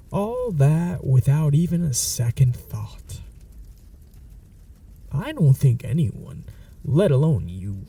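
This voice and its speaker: A man's voice: on the high side, dark tone, recorded from a distance, full character voice. A man voicing a menacing, possessive male vampire in an ASMR audio roleplay, speaking in a whispery, mocking, threatening way. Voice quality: whispery, gravelly.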